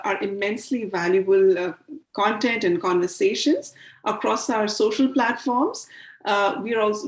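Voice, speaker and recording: A woman's voice: medium pitch, reverberant, rough recording. A woman hosting a virtual panel over a video call, hesitant with many fillers. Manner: hesitant with frequent uh fillers, welcoming. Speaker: host. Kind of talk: scripted-feeling virtual panel intro. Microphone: video call mic.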